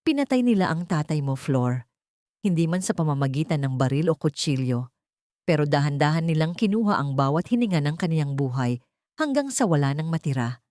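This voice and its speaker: A woman's voice: low voice, very animated, warm tone, no room sound, clean studio sound. Woman voicing a grave, ominous older housemaid. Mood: grim. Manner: ominous, deliberate. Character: grave older housemaid revealing a grim secret.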